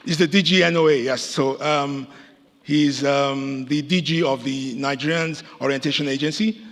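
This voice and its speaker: A man's voice: medium pitch, steady tone, moderate pace, a bit bright. Guy emceeing, lots of ums, far off in a reverby hall. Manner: hesitant, with many fillers. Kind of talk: stage emcee talk. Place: large conference hall.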